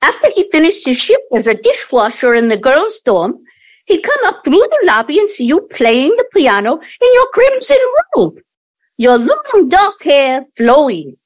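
A woman in an audio play acting an earnest, effusive younger daughter who smooths things over but bristles easily. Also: sits high, wildly swooping pitch, measured pace, full character voice. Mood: wistful.

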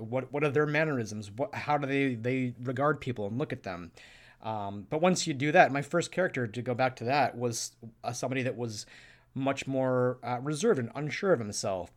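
A man co-hosting a podcast, talking casually in a halting way with many hesitations. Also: medium pitch. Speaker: podcast co-host.

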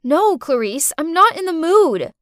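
A woman's voice: sits high, big pitch swings, measured pace, no room sound, studio quality. A woman playing an exasperated young heroine in a story, indignant and melodramatic. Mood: annoyed.

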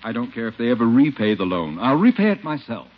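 A man's voice: mid-range voice, big pitch swings, quick pace, close to an everyday voice. An American actor playing a pompous, demanding middle-aged rich man, haughty and clipped, in 1950s radio comedy. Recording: muffled, faintly noisy 1950s radio.

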